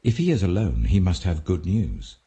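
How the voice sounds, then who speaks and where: Male narrator as ancient king, audiobook, bass register, mellow, calm, grave, gentle, regal. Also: moderate pace. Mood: hopeful.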